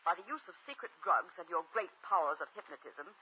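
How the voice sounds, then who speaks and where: Husky, obsessed young woman. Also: lowish voice, expressive, fast talker. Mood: insistent. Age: young adult. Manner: intense, clipped, commanding. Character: wealthy, obsessed young woman, ruthless and insistent. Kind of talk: 1940s radio acting. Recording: muffled, scratchy 1940s radio recording.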